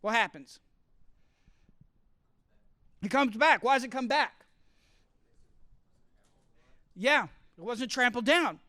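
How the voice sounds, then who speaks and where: A man giving a lively teaching talk to students, often asking the room questions as he explains. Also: extremely high pitch, unhurried, dry recording, studio quality. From grew up partly in Costa Rica. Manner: asks the audience questions, explains with extended metaphor. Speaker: college speaker teaching study skills. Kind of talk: interactive classroom talk.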